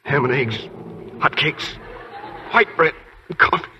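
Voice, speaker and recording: A man's voice: mid-range voice, animated, takes it slowly, pushed and bright, heavily acted. A man playing a stoic, wry young wounded lieutenant in a 1940s radio drama. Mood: stoic, strained. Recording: dull 1940s radio recording, faint noise.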